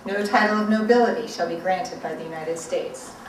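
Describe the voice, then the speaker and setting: A woman's voice: fairly low pitch, brisk. A woman giving a formal public reading of a legal document as a guest speaker. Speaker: invited guest reader. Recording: noisy, echoey event, distant mic.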